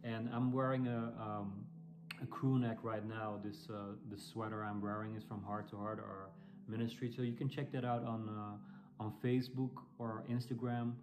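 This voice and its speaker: A man from the Netherlands with a Dutch accent, a ministry founder introducing himself to camera, speaking haltingly with many fillers and restarts. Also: fairly low pitch, little pitch movement.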